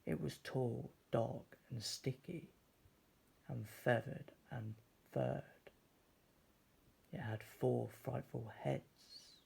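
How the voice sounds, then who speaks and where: A man reading a children's story aloud as storyteller, deliberately and with dramatic pauses. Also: medium pitch, fairly even intonation, vocal fry, darkish tone, slightly rough.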